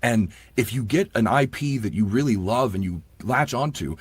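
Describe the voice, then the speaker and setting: A man's voice: medium pitch, expressive, normal speed, breathy edge. A man speaking casually and earnestly as an audiobook performer in an interview, with plenty of "like" and "you know".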